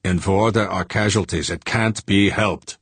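A man's voice: fairly even intonation, unhurried, a bit bright, husky, no echo, heavily acted. Grim, stubborn rebel, done by a male narrator, dry and close. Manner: clipped, low and intense. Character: hard, stubborn space-rebel man.

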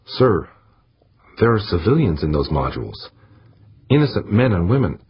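A man's voice: sits low, sing-song, moderate pace, breathy edge, highs cut off, voice pushed up, heavily acted. A man voicing a nervous, conscientious male weapons technician in an audiobook, hesitant and pleading.

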